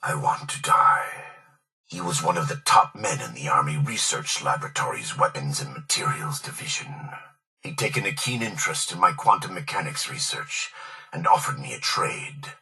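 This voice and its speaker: An actor playing a proud, bitter old tycoon kept alive by a machine in a video game, theatrical and self-pitying. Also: male voice, a bass voice, lively intonation, moderate pace, pushed and bright, distant mic, soft background music, heavily acted, low-quality recording. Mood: weary, pleading. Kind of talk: dramatic video game voice acting.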